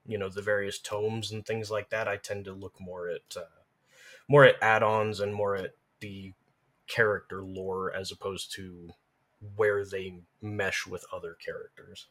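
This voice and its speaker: A man speaking as a guest in a casual discussion, measured and thoughtful, qualifying his points as he goes. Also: low voice, little pitch movement, a bit bright.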